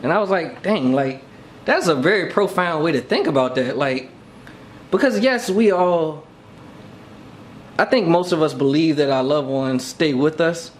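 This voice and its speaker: A man's voice: fairly high pitch, animated, unhurried, slight background noise. A man talking casually and reflectively as a podcast co-host, thinking aloud in an informal way.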